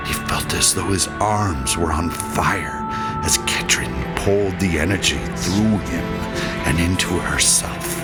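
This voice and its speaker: A man narrating a fantasy audiobook in a measured, dramatic way that can fall toward a breathy hush. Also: deep voice.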